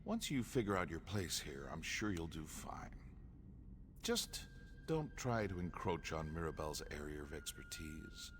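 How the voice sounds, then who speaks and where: A man's voice: sits low, wildly swooping pitch, measured pace, heavily acted. An actor voicing a guarded, curt male assassin who cautions recruits in a video game. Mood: cool warning. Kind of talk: video game character acting. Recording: noisy ambience behind the voice.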